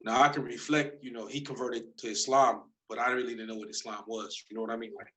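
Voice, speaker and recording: Interview guest, man in his forties, laid-back storyteller with slang, medium-pitched voice. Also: fast talker. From Detroit, United States. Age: 40s. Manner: relaxed, rambling, street slang. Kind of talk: casual storytelling.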